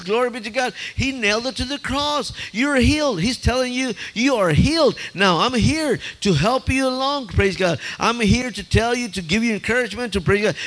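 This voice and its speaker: High-voiced fervent preacher man. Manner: fervent, peppers every phrase with "hallelujah" and "praise God"; restarts and stammers when excited. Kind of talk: sermon.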